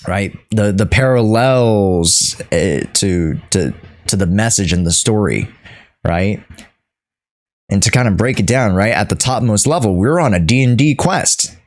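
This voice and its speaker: Slow, low-voiced man streaming. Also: animated. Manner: halting, searching for words, emphatic repeats. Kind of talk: unscripted livestream commentary to chat.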